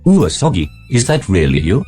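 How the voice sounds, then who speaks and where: A woman's voice: a bass voice, very animated, moderate pace, over music. A woman playing a dry, sardonic mother in a comedic anime parody audio drama. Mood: dry, surprised. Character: dry, mildly suspicious parent at home.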